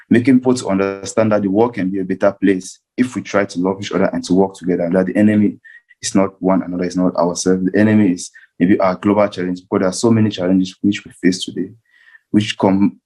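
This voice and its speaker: A man giving an earnest, unscripted panel speech, deliberate and repeating points for emphasis. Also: low voice, mellow, rough recording. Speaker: invited discussion speaker.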